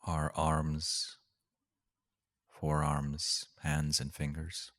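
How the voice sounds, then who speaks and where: A man leading a guided meditation as a teacher, speaking gently and unhurriedly with long pauses. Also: rumbling low, completely flat delivery.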